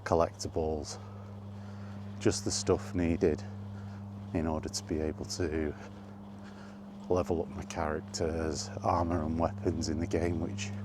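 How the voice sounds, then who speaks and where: A man's voice: deep voice, noisy background. A man chatting casually in a rambling, hesitant vlog style, thinking aloud as he goes. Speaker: gaming content creator and podcaster.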